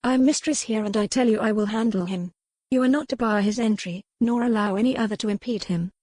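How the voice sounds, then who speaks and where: A woman's voice: on the high side, brisk, a little mellow, no echo, voice pushed up, natural voice. A proud young lady of the manor, acted by a woman narrator speaks formally and firmly. The dialogue is from a period romance audiobook, recorded close and very dry. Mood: firm, commanding. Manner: formal period speech, firm.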